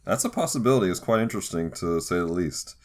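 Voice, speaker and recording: A man co-hosting a podcast, explaining things in a halting, conversational way as part of a casual discussion. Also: deep voice, lively intonation. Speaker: podcast co-host.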